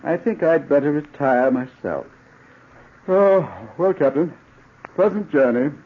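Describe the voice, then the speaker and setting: An actor playing a tired, courteous old scholar, hesitant and doddering, in 1940s radio-drama style. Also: male voice, a little high, measured pace. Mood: weary, kindly. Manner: doddering, fussy, hesitant, repeating himself. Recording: muffled old radio recording.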